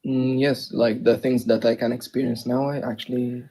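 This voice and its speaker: Hesitant husky young man from Egypt. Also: medium pitch, flat delivery, normal speed, warm tone, poor audio. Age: young adult. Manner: hesitant, many fillers and restarts. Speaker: debate participant. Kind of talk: live debate chat.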